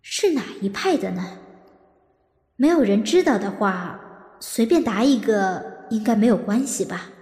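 A woman's voice: mid-range voice, lively intonation, unhurried, darkish tone, breathy edge, exaggerated voice. A woman acting a composed, guarded married lady who hides her anxiety, in an audio drama. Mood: hesitant, evasive. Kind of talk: Mandarin audio drama dialogue and inner monologue.

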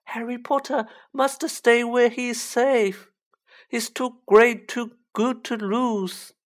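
A woman voicing a small male house-elf for a fantasy audiobook, a squeaky, humble, anxiously devoted servant who pleads with drawn-out emphasis. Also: steady tone. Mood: urgent pleading. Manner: pleading, drawn-out, emphatic delivery in third person. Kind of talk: children's fantasy audiobook character voice.

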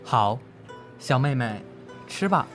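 A man playing a gentle, grieving swordsman master in an amateur radio drama, speaking in a kindly, measured, coaxing way. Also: mid-range voice, animated, soft background music.

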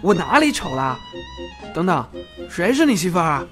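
A man's voice: on the high side, sing-song, normal speed, a bit bright, soft background music. A man playing a bashful, easily flustered but polite young policeman in an audio drama. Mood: flustered, indignant. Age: young adult.

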